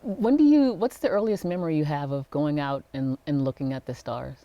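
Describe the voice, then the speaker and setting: A woman hosting an interview series, reading a scripted intro and then asking questions in a measured, attentive way. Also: deep voice, dry recording, highs cut off. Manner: measured and attentive, frequent affirming murmurs. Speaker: interview host, executive coach.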